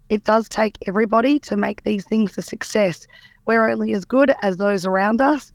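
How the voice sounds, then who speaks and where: An Australian woman who runs a tourism organisation, answering radio interview questions in an upbeat, promotional way with a croaky voice. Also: medium pitch, darkish tone, no room sound. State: croaky voice after a weekend event.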